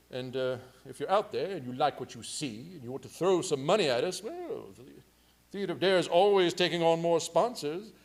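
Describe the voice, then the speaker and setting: A man's voice: fairly high pitch, a little mellow. A man hosting a community theatre show, giving a jokey, chatty introduction and announcements. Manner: jokey, chatty, breaks into chuckles. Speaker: theatre company host.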